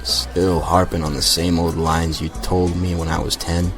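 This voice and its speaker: An actor playing a cocky, rebellious general's son of about twenty, talking loosely and irreverently in an audio drama. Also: male voice, low voice, flat delivery, normal speed, music in the background. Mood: defiant. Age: young adult.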